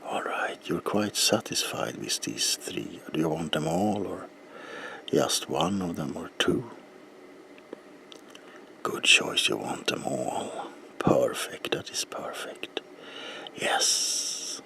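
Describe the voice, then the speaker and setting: Very deep, creaky whispering man. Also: wildly swooping pitch, slow, bright, forceful tone. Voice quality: breathy whisper, very low. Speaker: ASMR performer playing a bookseller. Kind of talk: whispered ASMR roleplay.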